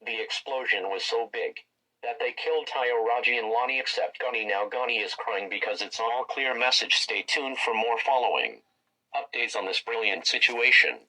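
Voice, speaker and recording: A man's voice: medium pitch, normal speed, rough recording. A man reading a scripted emergency broadcast announcement as an alert announcer. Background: loud music.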